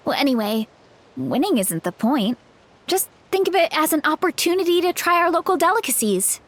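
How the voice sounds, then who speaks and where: Giggly, high-voiced young warrior. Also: female voice, very animated, moderate pace. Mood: easygoing. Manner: easygoing, giggly, a little absent-minded. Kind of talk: game voice acting. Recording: game audio with faint music.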